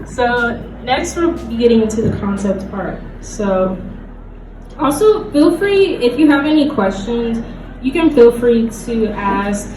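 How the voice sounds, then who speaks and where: A woman's voice: a little high, animated, measured pace, slight background noise. Woman presenting in an echoey room. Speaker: convention panelist. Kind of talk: informal panel presentation. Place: convention panel room. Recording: roomy, distant mic, loud background music.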